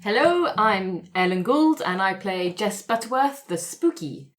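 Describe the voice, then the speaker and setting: A woman's voice: mid-range voice, animated, a little slow. A woman chatting casually as a cast member in a group discussion. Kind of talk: casual chat.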